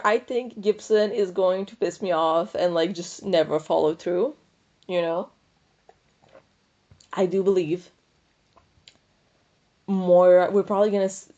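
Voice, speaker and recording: Casual, opinionated woman with a lowish voice. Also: mellow, lo-fi sound. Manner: rambling, opinionated, thinking aloud; informal, slangy, freely swearing. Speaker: book review podcast host. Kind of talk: casual unscripted book discussion.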